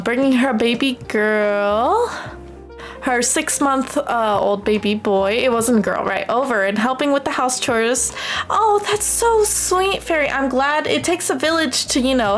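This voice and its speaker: A young woman from the United States chatting casually on a livestream in an expressive, affectionate, gushing way, as a streamer talking to viewers. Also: fairly high pitch. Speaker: live streamer.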